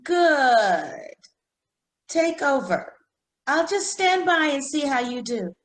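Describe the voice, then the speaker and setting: A woman playing a brisk, pompous cosmetics saleswoman in old-time radio comedy style, recorded in an echoey room. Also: big pitch swings, full character voice. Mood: relieved. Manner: showy, deliberate pitchwoman delivery.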